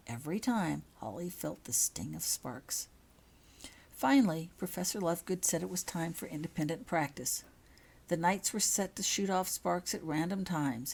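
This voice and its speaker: A woman narrating a story from a script, reading her own fiction aloud with a husky voice. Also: lowish voice, a little mellow.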